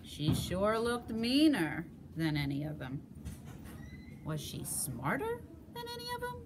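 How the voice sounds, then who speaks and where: Lady reading a kids book out loud, slow n super expressive, dramatic pauses, noisy recording. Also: medium pitch. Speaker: storybook reader. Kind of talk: expressive children's read-aloud narration.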